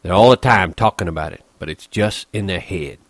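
Man playing a bitter, lonely stable hand, low-pitched. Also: fairly quick, no room sound. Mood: scornful. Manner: brooding, colloquial rural ranch talk.

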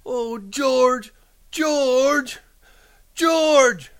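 A man's voice: high-pitched, steady tone, moderate pace, pitched above the performer's own voice, close to an everyday voice. A man voicing a simple, childlike, easily upset man from a novel, plaintive in rural American dialect. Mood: frantic.

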